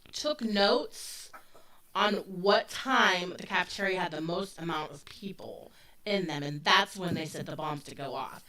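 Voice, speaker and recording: A woman's voice: mid-range voice, bright, forceful tone. A woman in her forties co-hosting a casual true-crime chat, talking loosely with lots of fillers. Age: 40s. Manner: loose and conversational, with frequent fillers. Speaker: podcast co-host. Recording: voice a bit distant from the mic.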